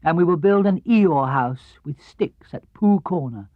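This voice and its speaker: Man playing a slow, earnest storybook bear. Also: a little high, lively intonation, dry recording, narrow, tinny audio. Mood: decided. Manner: deliberate, simple, earnest.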